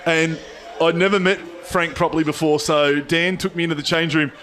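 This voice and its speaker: Laid-back baseball announcer. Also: male voice, on the high side, fairly even intonation, fairly quick, over music. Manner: easygoing, chuckles between calls. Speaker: play-by-play commentator. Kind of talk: baseball play-by-play.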